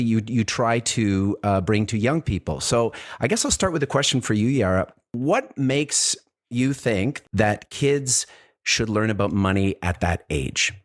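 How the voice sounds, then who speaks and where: A middle-aged man hosting an interview show, introducing topics and putting questions to guests. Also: lowish voice. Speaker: podcast host.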